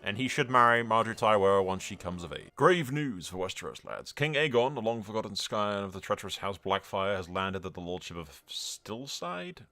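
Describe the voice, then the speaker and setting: Male gaming host, low-ish pitch with big swings, sweary, exclamatory let's-play style over faint music. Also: animated, moderate pace, hardly any pauses, sharp tone. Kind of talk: casual let's-play commentary mixed with in-character roleplay narration.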